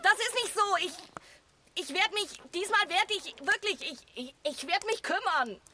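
An actress playing an earnest, agitated, idealistic wife in a naturalistic radio drama, stammering and urgent, often dropping into hushed tones. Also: female voice, very high-pitched, animated, moderate pace, a little hiss or hum, exaggerated voice. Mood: agitated, pleading.

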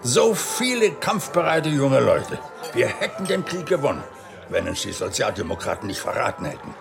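A gravelly, pompous army general. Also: male voice, mid-range voice, very animated, moderate pace, music in the background. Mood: bluff, bitter. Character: boastful, embittered nationalist army general, confiding. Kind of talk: radio drama acting.

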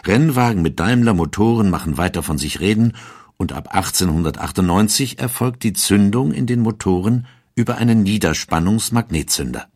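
A man reads a historical documentary script in a calm, measured way. His voice is very low and somewhat husky, recorded dry and close. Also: no room sound. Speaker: narrator.

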